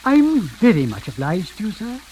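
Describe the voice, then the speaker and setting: Casting: blackmailing servant, deferential and precise with a swooping melody, vintage radio drama, slightly noisy recording. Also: male voice, high-pitched, wildly swooping pitch, a little mellow. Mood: slyly insinuating. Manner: formal, obsequious, measured hesitations. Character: deferential, sly blackmailing manservant, coolly polite. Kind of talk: 1940s radio acting.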